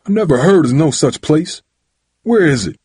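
A man voicing an angry, domineering father who shouts and interrogates in colloquial, threatening speech for an audiobook. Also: on the high side, animated, normal speed, breathy edge, no echo, studio quality, pitched above the performer's own voice. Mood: furious, demanding. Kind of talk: audiobook character voice.

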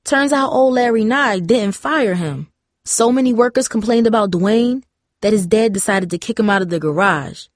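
A woman playing a sassy, gossipy teenage girl in a storybook, chatty and brisk. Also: a little high, no echo, studio quality, natural voice.

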